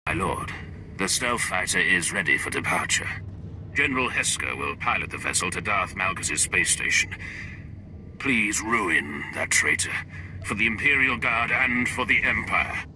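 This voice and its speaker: A grimly devoted imperial officer in a sci-fi game. His voice is low and very forceful, formal and military, with clear noise behind it. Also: lively intonation, a little slow, full character voice. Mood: solemn resolve. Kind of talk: video game voice acting.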